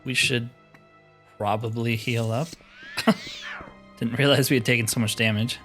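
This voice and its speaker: A man's voice: medium pitch, steady tone, a little slow, a bit bright. A man talks casually as he plays a fantasy roleplaying game, commenting on things as they come. Music plays behind his voice. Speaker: host of a video game roleplay series.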